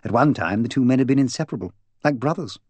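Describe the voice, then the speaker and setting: A man's voice: medium pitch, lively intonation, fast, darkish tone, breathy edge, no room sound. A man voicing an imperious, gossipy, opinionated English woman in an audiobook, bossy, emphatic and voluble. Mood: gossipy, confiding. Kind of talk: audiobook character dialogue.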